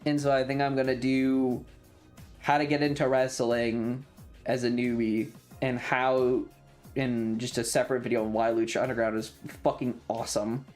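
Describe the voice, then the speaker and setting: A man's voice: mid-range voice, little pitch movement, normal speed, a little mellow, faint music behind. A man chatting casually as a streamer, thinking aloud in an informal, sweary way. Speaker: streamer and video creator. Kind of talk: casual rambling monologue.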